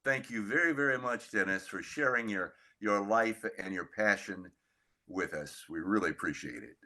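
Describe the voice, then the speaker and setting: A man hosting a podcast interview, with halting, deliberate phrasing. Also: on the low side, animated, bright, forceful tone.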